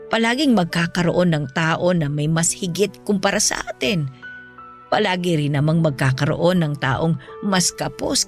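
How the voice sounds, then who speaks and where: A woman acts as an ailing mother in a Filipino radio-style drama. Her voice is on the low side and moves expressively as she counsels her grown child with patient, earnest morals. Music plays faintly behind her. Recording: music bed under the voice.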